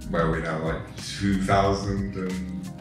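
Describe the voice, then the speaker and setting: A man's voice: low voice, a little slow. An English man in his forties, a tech company co-founder, talking casually in an interview with lots of fillers and restarts. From England. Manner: relaxed, rambling with many fillers and restarts. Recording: echoey room, far from the mic, music behind.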